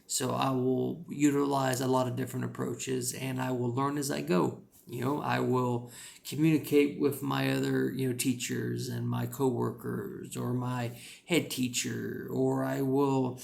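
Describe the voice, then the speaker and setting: A man's voice: medium pitch, little pitch movement, unhurried, creaky, darkish tone. A man with a rural American accent giving casual, rambling advice as an English teacher, with lots of "you know" fillers.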